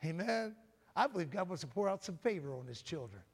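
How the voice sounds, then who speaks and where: Loud preacher in a long-echo hall. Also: male voice, big pitch swings, a little fast, slightly rough. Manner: loud, calls out "Amen?" often, swings from booming to soft. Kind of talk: sermon.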